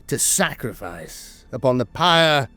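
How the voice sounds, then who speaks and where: A man's voice: mid-range voice, very animated, takes it slowly, bright, forceful tone, no room sound, faint music behind. A man narrating scripted lore as a storyteller, with a grave, dramatic delivery.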